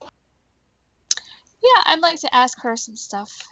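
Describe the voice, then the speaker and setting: Female co-host, casual conversational delivery, higher register, very dry sound. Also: big pitch swings, drawn-out words, fairly bright tone, dry recording, lo-fi sound. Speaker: live show co-host. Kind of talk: casual chat.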